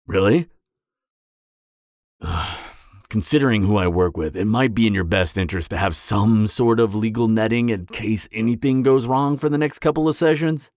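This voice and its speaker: A man's voice: fairly low pitch, normal speed, a little mellow, no room sound, studio quality, lightly acted. A man playing an awkward, earnest, by-the-book supervillain on a date in an audio drama, speaking in a hesitant, stammering, matter-of-fact way. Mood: earnest, businesslike. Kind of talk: conversational roleplay audio drama.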